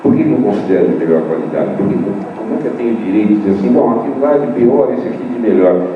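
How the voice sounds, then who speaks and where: Older male professor, low voice, emphatic debater, distant mic in an echoey hall. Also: lively intonation, fast talker. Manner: emphatic, with rhetorical questions and repetition. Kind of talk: debate answer to a student. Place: large hall at a university meeting. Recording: distant echoey room mic, dull and noisy.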